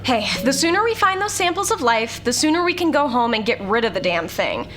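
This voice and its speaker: High-voiced, snarky woman scientist, as acted. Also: sing-song, a bit bright, slight background noise. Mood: confident, keen. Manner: snarky, brisk, exasperated. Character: snarky, confident female space scientist. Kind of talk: scripted audio drama acting.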